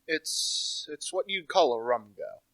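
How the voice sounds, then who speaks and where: A man's voice: a little high, lively intonation, unhurried, bright, forceful tone, no echo. Young man as a pondering amateur detective, dry sound. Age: young adult. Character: thoughtful male amateur detective, puzzling and terse. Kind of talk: radio play dialogue.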